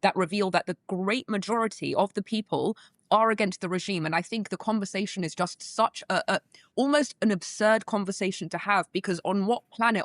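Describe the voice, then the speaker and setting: A woman's voice: medium pitch, no room sound, clean studio sound. A woman, a guest attorney answering interview questions earnestly. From England. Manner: earnest, with restarts.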